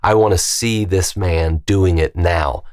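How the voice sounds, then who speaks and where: Brief: West Virginian man, pastor, very low voice, emphatic, stacks up rhetorical questions. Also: unhurried, darkish tone. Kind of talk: podcast discussion.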